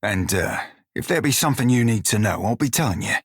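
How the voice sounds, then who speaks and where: Husky, salty pirate shipmate. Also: male voice, medium pitch, lively intonation, quick pace, dry recording, studio quality. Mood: guarded, sincere. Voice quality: husky, rough-edged. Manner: salty pirate dialect, wry and plain-spoken. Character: gruff, foul-mouthed furry blue male pirate shipmate. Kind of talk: video game character dialogue.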